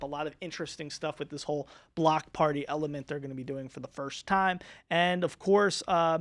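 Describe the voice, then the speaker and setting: A man hosting a sports talk show in a studio, emphatic and fired up, with his voice going hoarse. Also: a little high, fairly bright tone, no room sound. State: losing his voice.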